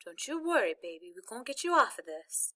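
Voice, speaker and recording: A woman's voice: a little high, very animated, brisk, slight background noise. A woman voicing a tender, anxious mother with a Southern US accent in a storybook. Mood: tender, shaky. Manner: gentle, anxious.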